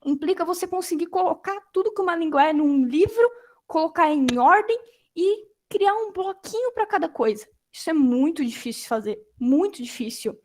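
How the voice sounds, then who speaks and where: A young adult woman giving an informal lecture, animated and acting out quoted reactions. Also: extremely high pitch, normal speed.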